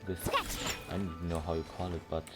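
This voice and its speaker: Low-voiced man doing game commentary. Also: flat delivery, quick pace. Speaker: game streamer. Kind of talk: casual playthrough commentary, reading dialogue aloud. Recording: clear game music behind voice. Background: game music.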